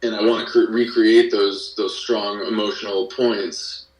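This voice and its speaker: A man chatting casually as an interview guest, explaining his creative work in a halting but enthusiastic way. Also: lowish voice, level tone. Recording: roomy, distant mic, faint music.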